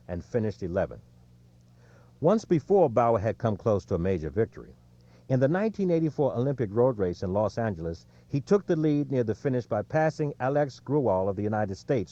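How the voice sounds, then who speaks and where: A man reading an audiobook in a measured, clearly scripted storytelling delivery, recorded with slightly lo-fi sound. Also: on the low side, mellow, dry recording. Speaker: audiobook narrator.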